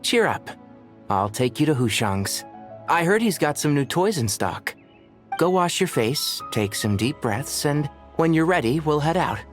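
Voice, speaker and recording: An actor playing a no-nonsense but caring young male mentor in a video game, crisp and measured, given to lecturing. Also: on the high side, very animated, faint music behind. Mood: caring, instructive. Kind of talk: video game cutscene acting.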